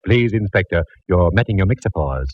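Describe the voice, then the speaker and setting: An actor playing a fussy, affectionate gentleman friend with a theatrical, punning manner, from a dull old radio broadcast. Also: deep voice, lively intonation, a little fast. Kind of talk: 1940s radio acting.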